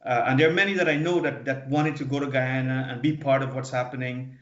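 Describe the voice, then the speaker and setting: A man in his fifties, a guest on a panel, recalling his own past in a halting, earnest way and often restarting words. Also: mid-range voice, fairly even intonation, a bit bright. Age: 50s. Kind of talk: personal recollection in a panel discussion. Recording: dull, echoey remote audio.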